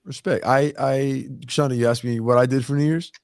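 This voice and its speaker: A man's voice: mid-range voice, fairly even intonation, fairly quick, a little mellow, clear, resonant tone, no echo, studio quality. A man co-hosting a talk show, chatting in a laid-back, joking way. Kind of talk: casual chat.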